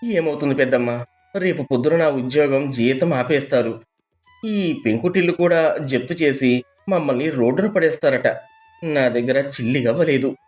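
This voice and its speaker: A man acts out a young husband from a moral tale, crafty and given to desperate pleading. His delivery is theatrical and his voice sits at a medium pitch. Faint music plays behind him. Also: normal speed, a little mellow, lightly acted. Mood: wailing, desperate. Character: scheming young husband faking tearful, desperate ruin. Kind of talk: dramatized moral story acting.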